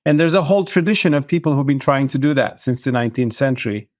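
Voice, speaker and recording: A middle-aged man from Turkey, a guest author, answering interview questions in a measured, thoughtful way. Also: medium pitch, expressive, a little mellow, dry recording, clean studio sound.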